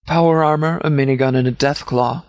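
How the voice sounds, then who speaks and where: A man's voice: medium pitch, steady tone, a little fast, no room sound, studio quality, close to an everyday voice. Stern, formal sheriff in command , acted by a man. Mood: businesslike. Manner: formal, clipped, authoritative military phrasing. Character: stern, composed male sheriff commanding a militia department. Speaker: commanding officer. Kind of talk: scripted video game dialogue.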